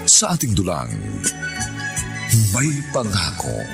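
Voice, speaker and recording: A man's voice: low voice, wildly swooping pitch, slow pace, gravelly. A man announcing a radio drama in a dramatic, scripted style. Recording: loud music under the voice. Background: loud music.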